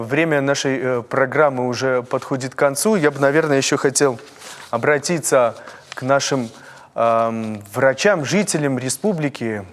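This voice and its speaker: A man from North Ossetia hosting a TV interview, speaking in a measured, searching way with many fillers. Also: medium pitch, in a large hall. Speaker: TV host. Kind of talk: TV interview and sign-off.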